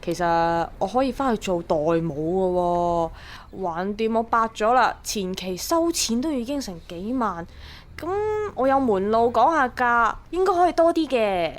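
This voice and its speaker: A woman's voice: on the high side, animated, normal speed, natural voice. An actress playing a practical, teasing young woman in a radio drama, with a Hong Kong accent and wry, colloquial delivery. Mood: careful persuading. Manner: wry, colloquial, gently bossy.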